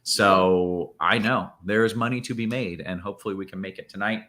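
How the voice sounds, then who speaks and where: Bright-voiced upbeat man hosting. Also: deep voice, normal speed. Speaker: show host. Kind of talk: energetic sports betting show chat.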